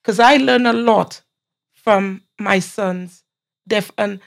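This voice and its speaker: A woman's voice: mid-range voice, takes it slowly, creaky. A middle-aged woman speaking as a podcast guest, giving her opinion firmly and earnestly from her own experience. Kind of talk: casual panel chat.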